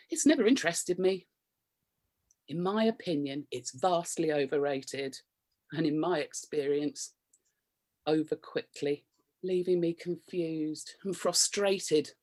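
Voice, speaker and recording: An actress with a British accent playing a prim, flustered married woman, coy and curious, in a radio comedy. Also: low voice. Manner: coy, flustered, innuendo-laden delivery with nervous chuckles. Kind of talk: radio play comedy acting, confessional monologue and banter.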